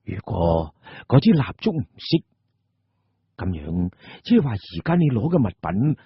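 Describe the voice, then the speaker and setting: Male Cantonese storyteller: very raspy, suspenseful dramatic narration, deliberate pauses, dull close dry sound. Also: lowish voice, sing-song, dry recording. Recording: muffled, dull audio.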